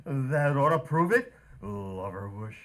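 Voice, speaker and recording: A man's voice: medium pitch, very animated, slow, a bit bright. A man playing a smug butcher and would-be suitor in an old-time radio comedy.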